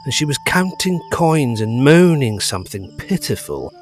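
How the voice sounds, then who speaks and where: An actor playing a bold, curious gentleman friend in a period ghost story, theatrical, old-fashioned and inquisitive. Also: mid-range voice, sing-song, moderate pace, natural voice. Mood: wondering storytelling. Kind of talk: period ghost story audio drama acting.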